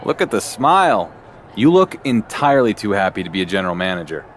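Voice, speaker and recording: A man's voice: on the low side, big pitch swings, fast talker. Man voicing a cocky wrestling champ, confident, defiant, video game, faint traffic noise. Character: cocky male wrestling champion, young adult. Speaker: player character.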